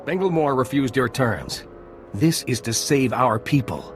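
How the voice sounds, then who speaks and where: A man's voice: mid-range voice, expressive, unhurried, faint music behind, natural voice. An actor playing a grim, desperate villager in a video game who will kill to save his people. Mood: grim resolve. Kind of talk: video game cutscene acting.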